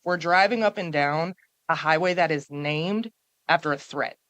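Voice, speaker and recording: A woman speaking on a panel before a live audience in a small café, forceful and emphatic, stacking up points and repeating them for weight. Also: sits low, normal speed, dry recording. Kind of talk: panel talk.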